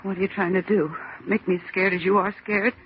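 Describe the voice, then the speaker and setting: A woman's voice: medium pitch, fairly even intonation, a little fast, slight background noise, lightly acted. An actress playing a frightened office woman fighting to keep control, urgent and pleading, in an old-time radio horror drama. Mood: defiant, tense. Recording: tinny, muffled vintage radio recording.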